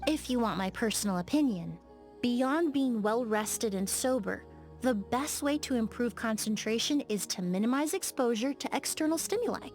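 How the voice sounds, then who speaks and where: Game role: actress as refined young woman perfumer, higher-pitched, exacting and courteous, measured diction, music underneath. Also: natural voice. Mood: matter-of-fact. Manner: measured, articulate, politely blunt. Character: poised, precise, polite young woman perfumer. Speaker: game character voice actor. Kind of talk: scripted video game dialogue.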